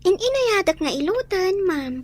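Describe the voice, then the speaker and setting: A woman acting as a meek young servant girl with a high voice. She is polite and downtrodden, in audio drama style. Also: sing-song, normal speed.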